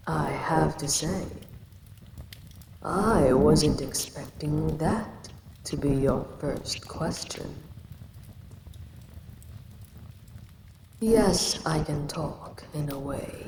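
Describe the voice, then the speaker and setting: A woman's voice: deep voice, exaggerated voice. A woman voicing an ancient giant female kaiju queen who speaks down to a tiny human she calls a pest. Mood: weary. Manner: condescending, calls the listener pest. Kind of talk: audio roleplay acting. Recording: echoey reverb with ambient noise.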